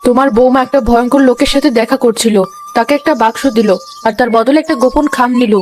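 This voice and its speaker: Woman playing a high-pitched, suspicious, melodramatic mother-in-law. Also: steady tone, quick pace, over music, natural voice. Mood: alarmed. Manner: dramatic, alarmed, accusatory. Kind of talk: Bengali cartoon comedy acting.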